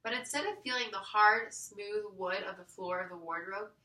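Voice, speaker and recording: A woman reading a children's story aloud in an expressive storytelling style. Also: medium pitch, moderate pace. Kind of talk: expressive scripted storybook narration. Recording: roomy echo, distant mic.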